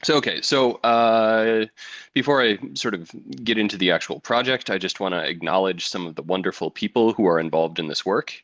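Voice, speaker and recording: A man in his thirties from Wisconsin with an American accent, presenting a physics education webinar in a relaxed, chatty way with many ums. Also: fairly low pitch, fairly quick, no room sound, highs cut off. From Wisconsin, United States. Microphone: computer mic on a video call.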